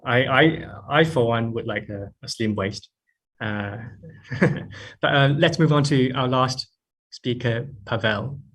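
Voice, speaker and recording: A man moderating an online panel, brief and friendly but a little halting, on a video call. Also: mid-range voice, a bit bright. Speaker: session moderator. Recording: distant from the mic.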